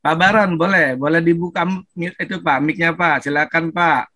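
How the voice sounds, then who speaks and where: A man with a slightly raised voice and an Indonesian accent, running a video call. He is brisk and encouraging as he calls on participants, inviting them to turn on their mics. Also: on the high side, steady tone, bright, forceful tone, no room sound. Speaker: moderator.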